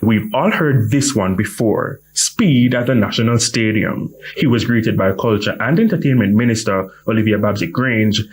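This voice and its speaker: A man's voice: fairly low pitch, moderate pace, hardly any pauses, noisy background. A man narrating a scripted television news feature as the reporter.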